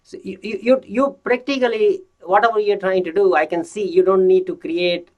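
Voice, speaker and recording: Halting male podcast host, somewhat high voice. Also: fairly even intonation, muffled recording. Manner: halting, many restarts and repeated words. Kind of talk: casual interview questions.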